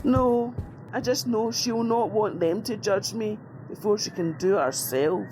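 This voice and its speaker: An actress playing a fearless woman held prisoner, who speaks in a defiant, forceful way. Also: medium pitch, a little slow, slight background noise, close to an everyday voice, poor audio. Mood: steady.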